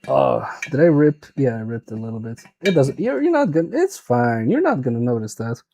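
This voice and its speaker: A man's voice: mid-range voice, sing-song, moderate pace, pauses often, dark tone, a little hiss or hum. A man with a Swedish accent, thinking aloud and muttering to himself as he repairs a toy on a live stream. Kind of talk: casual live-stream commentary while repairing.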